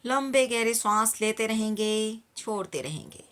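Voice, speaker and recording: Slow, bright-voiced woman guiding meditation. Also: a little high, expressive. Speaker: meditation teacher. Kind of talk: guided meditation instruction.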